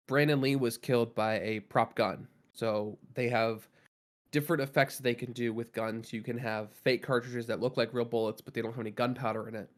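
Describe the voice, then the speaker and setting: A man with a gravelly voice co-hosting a casual podcast, giving a long, loose explanation full of ums and restarts. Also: mid-range voice. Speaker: podcast co-host.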